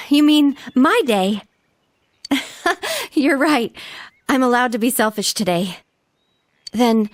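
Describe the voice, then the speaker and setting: Anime game role: proud, clingy teen girlfriend, girlish, high register, unhurried delivery. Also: dry recording. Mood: tender. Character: teenage Japanese schoolgirl, bubbly, proud, secretly clingy sweetheart. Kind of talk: anime video game voice acting.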